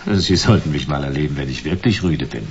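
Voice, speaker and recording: An actor playing a laconic, sardonic private detective in a German sci-fi radio drama, terse and gravelly, with dry delivery. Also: male voice, rumbling low, animated, a little fast, full character voice. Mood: dry, defiant. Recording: dull, hissy radio play recording.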